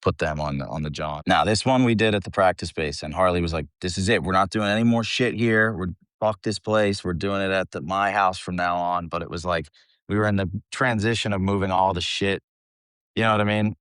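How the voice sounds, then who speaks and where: A man with a low voice talks casually as a guest on a music show. He rambles informally and swears freely. The recording is dry, without room sound. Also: brisk, fairly bright tone, clean tone, no echo. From United States, Philadelphia area. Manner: rambling, informal, salty-tongued. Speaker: metal band vocalist, interview guest. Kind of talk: casual chat.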